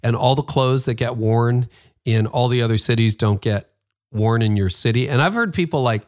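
A man's voice: mid-range voice, normal speed. A man in his fifties with a Toronto Canadian accent, talking emphatically in a casual interview and sarcastically mimicking other people's excuses. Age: 50s. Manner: emphatic, animated, mock-quoting excuses with sarcasm. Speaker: podcast host being interviewed.

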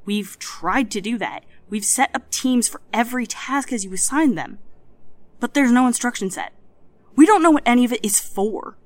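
An actress playing a wary, frustrated hacker collective coordinator in an audio drama, plain-spoken, hesitant and exasperated. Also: female voice, fairly high pitch, animated, moderate pace, no echo, close to an everyday voice.

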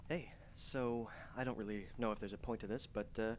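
An actor playing a frightened young man trapped alone, recording a halting, stammering diary in a horror audio drama. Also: fairly low pitch, steady tone, noisy background, close to an everyday voice. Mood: anxious, tender.

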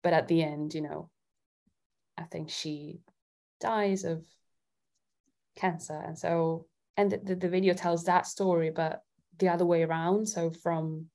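Slow, mellow-voiced woman thinking aloud. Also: fairly low pitch, fairly even intonation. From Italy. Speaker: guest singer-songwriter. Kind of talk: casual interview chat.

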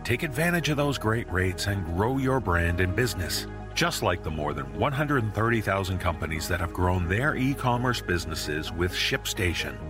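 A man delivering a smooth, formal, scripted podcast ad read with fluent phrasing. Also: deep voice, expressive, moderate pace. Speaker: podcast ad reader. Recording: background music bed under voice. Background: spooky atmospheric music.

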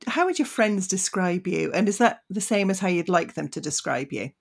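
A woman's voice: on the low side, expressive, normal speed, gravelly, husky. A woman co-hosting an interview, asking questions in a light, friendly way. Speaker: co-host.

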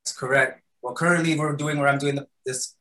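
A man's voice: on the high side, steady tone, brisk, a bit bright, poor audio. A man answering interview questions in a loose, conversational way, filling his answers with "um" and "right?". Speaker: guest, patty shop founder. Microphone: recording studio mic.

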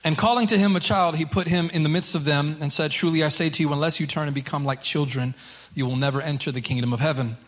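Guy pastor preaching, kinda high voice, bit hoarse, repeats himself, real informal. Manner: informal, halting, repeats phrases. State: slightly hoarse from singing. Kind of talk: sermon to a congregation. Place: church service.

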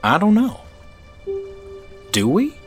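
An actor playing an affable, knowing RV traveler in an audio drama, conversational and slightly teasing. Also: male voice, high voice, wildly swooping pitch, slow, soft background music, full character voice. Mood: casual, teasing. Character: affable, knowing male RV traveler, subtly probing. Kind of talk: naturalistic audio drama acting.

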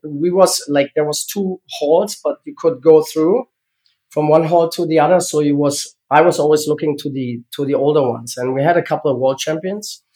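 A man in his fifties with a German accent tells his story in English, a language he learned later, in a fairly high voice. He pauses often and hunts for words, filling the gaps with ums and uhs. The pace is steady, as in an interview. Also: rough recording. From East Germany. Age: 50s. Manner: halting, searching for English words, frequent fillers. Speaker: guest, former gymnast.

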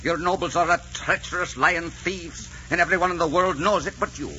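An actor playing a bold, loyal Scottish earl, speaking with ardent, declamatory defiance in 1940s radio style. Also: male voice, fairly high pitch, fairly quick, bright, forceful tone. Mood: defiant. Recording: hissy, dull 1940s radio recording.

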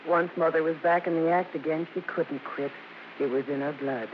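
An actress playing a grown daughter who narrates her family's story with measured, wry pauses, in scripted old-time radio drama style. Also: deep voice, steady tone, lightly acted. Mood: wistful reminiscing. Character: grown daughter Mikey recalling her show-business family. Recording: muffled, very noisy old radio recording.

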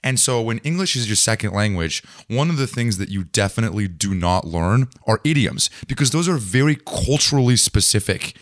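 A man with a fairly low voice hosts a podcast interview. He talks in a casual, conversational style as he puts questions to his guest. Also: expressive, a little fast, hardly any pauses.

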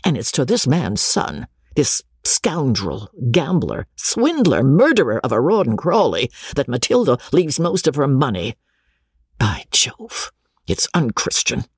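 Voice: mid-range voice, wildly swooping pitch, measured pace, slightly rough, dry recording, clean studio sound, heavily acted. A reader voicing an indignant, blustering middle-aged country rector who rants in a storybook reading. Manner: indignant, ranting.

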